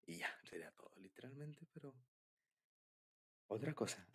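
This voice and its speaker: A man's voice: medium pitch, expressive, moderate pace, a bit bright, breathy edge. A young man with a Mexican accent, chatting casually and intimately as a boyfriend in an ASMR roleplay, rambling in giggly, slangy speech. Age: young adult.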